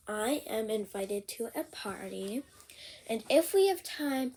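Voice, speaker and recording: A woman's voice: mid-range voice, a little mellow, a little hiss or hum, poor audio. A young girl hosting a casual vlog in which she reads picture books aloud. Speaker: channel host.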